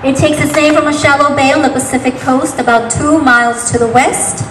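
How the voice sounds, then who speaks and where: A woman's voice: high voice, fairly even intonation, brisk. A woman reading scripted narration as part of a dramatic reading. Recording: echoey reverberant room, clearly noisy.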